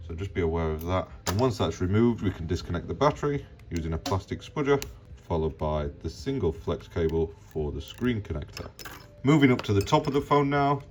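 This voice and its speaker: A man's voice: deep voice, a little mellow. A man hosting a repair tutorial, narrating step by step in a plain, practical, informal way.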